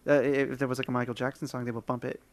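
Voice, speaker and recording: A man's voice: medium pitch, level tone, racing. A young man co-hosting a casual chat, English not his first language, tossing in joking asides. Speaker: co-host.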